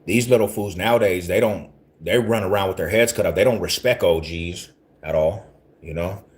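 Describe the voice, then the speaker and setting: Deep-voiced man in his forties, rambling street talk. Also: normal speed, a little mellow. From Denver, Colorado. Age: 40s. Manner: rambling, repeats himself, street slang. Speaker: livestream host talking to viewers. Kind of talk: casual street-talk livestream.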